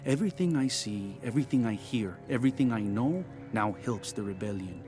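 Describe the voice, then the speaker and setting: Give the game a proud exiled gambler, played by a man, with a low voice and a measured delivery. He philosophizes and teases like someone who knows the rules better than you, with music under him. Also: lively intonation, natural voice. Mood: earnest. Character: proud cast-out man, sly dice player turned rebel informant. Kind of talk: video game cutscene acting.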